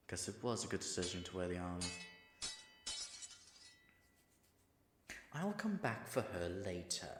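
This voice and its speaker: Deep, gravelly dragon played by a man. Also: background noise. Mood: wary, muttering. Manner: dramatic, formal, wry. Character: proud, exasperated male dragon guarding his treasure. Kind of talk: scripted solo audio roleplay.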